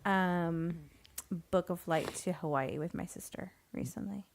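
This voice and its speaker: A woman's voice: lowish voice, measured pace, slight background noise. A tired woman co-hosting a casual podcast, chatting wryly and laughing often. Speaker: podcast co-host. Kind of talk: casual banter.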